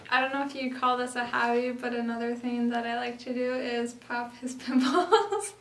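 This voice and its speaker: A woman's voice: fairly high pitch, moderate pace, fairly bright tone, reverberant, distant mic. A woman of twenty from Wisconsin, co-hosting a casual vlog chat, hesitant and a little giggly. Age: 20s. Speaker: vlogger co-host.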